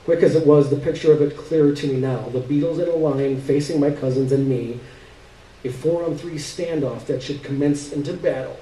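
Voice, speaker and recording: A man giving an expressive live reading of a literary story, with dramatic, halting pauses. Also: fairly high pitch. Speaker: story reader. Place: live stage reading indoors. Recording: roomy, distant stage recording.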